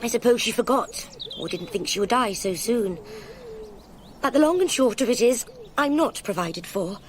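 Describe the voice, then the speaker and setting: A woman plays a sweet young Englishwoman with an English accent. Her voice is fairly high and very lively in pitch, girlish and expressive, in radio drama style. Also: normal speed, natural voice. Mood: forlorn, confiding.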